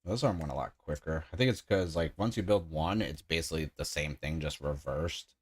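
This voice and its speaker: Man, 30s, extremely low voice, chatty, rambling livestream builder. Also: fairly quick, breathy edge. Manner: rambling, enthusiastic, frequent "like" fillers. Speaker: streamer building a model kit. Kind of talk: casual livestream chat while building.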